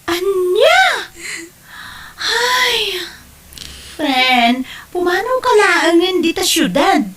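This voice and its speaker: A woman's voice: extremely high pitch, big pitch swings, drawn-out words, pauses often, fairly bright tone, heavily acted. A woman with an Ilocano Filipino accent playing a concerned, exclamatory friend in an audio drama. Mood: shocked, concerned.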